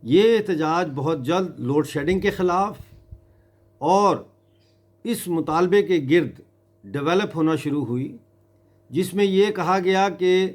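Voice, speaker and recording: High-pitched man making a grave political appeal. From Mirpur in Kashmir. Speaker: political activist.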